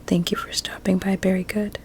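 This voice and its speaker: A woman playing a vain, sinister blueberry doctor in an ASMR medical roleplay, speaking in a soft breathy whisper with soothing menace. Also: mid-range voice, little pitch movement, a little fast, close to an everyday voice. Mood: smugly pleased.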